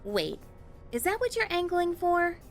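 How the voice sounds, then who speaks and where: A woman voicing a perky, excitable young female teammate in an anime video game, casual and exclamatory. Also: very high voice, a little slow, soft background music, exaggerated voice. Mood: playful surprise.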